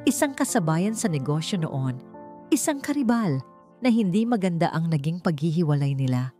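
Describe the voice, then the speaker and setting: A woman's voice: on the low side, very animated, normal speed. A woman with a Filipino accent reads a scripted romantic drama as its narrator, with music behind her. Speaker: story narrator. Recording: faint music bed under the voice. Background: soft background music.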